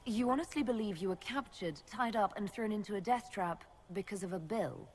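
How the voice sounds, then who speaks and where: A woman's voice: medium pitch, a little fast, slight background noise, natural voice. An actress playing a shrewd, coolly confident female leader in a video game, dry, composed and pointed. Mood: brisk, dry. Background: music.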